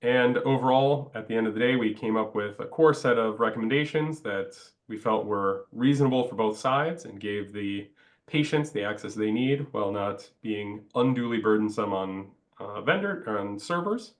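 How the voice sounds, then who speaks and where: Low-voiced man with a stuffy cold. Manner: halting, many "uh" fillers. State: congested, stuffed up. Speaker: project presenter. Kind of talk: webinar slide presentation.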